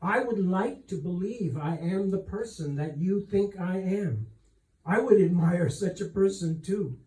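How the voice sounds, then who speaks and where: A man voicing a gracious, frank and self-doubting queen in a novel reading, measured and reflective. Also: high-pitched, warm tone. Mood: humble, admiring. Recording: roomy echo, distant microphone.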